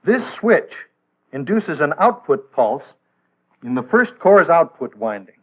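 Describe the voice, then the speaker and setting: Man, American accent, slightly high voice, measured didactic delivery, precise scripted narration, thin band-limited old film soundtrack. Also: sing-song, muffled recording. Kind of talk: scripted instructional narration, 1960s training film.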